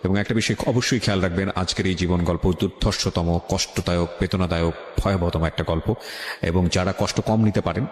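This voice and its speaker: A man's voice: low voice, steady tone, brisk. A man with a Bangladeshi Bengali accent hosting a radio show, commenting to listeners in a dramatic, emphatic way. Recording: echoey studio sound, long reverb.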